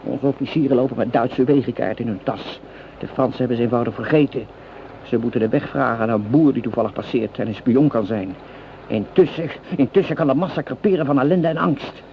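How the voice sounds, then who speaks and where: An actor playing a cynical, hot-tempered French common soldier in a Dutch radio play, coarse and sarcastic in manner. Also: male voice, medium pitch, fairly quick, lightly acted. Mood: bitter, heated. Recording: dull, noisy old radio play recording.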